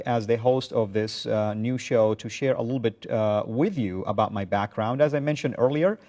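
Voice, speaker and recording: A middle-aged man from Saudi Arabia, hosting a talk show, speaking earnestly and measuredly with frequent pauses. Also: lowish voice, a little mellow. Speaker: show host. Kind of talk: talk-show monologue to a guest.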